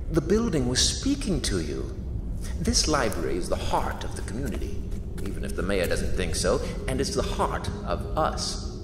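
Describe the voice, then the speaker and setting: A man playing a gloating sinister library director. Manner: theatrical, gloating, sweeping pitch swings. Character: sinister old male library director, cult leader. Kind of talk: audio horror drama acting. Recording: echoey reverberant room with noise.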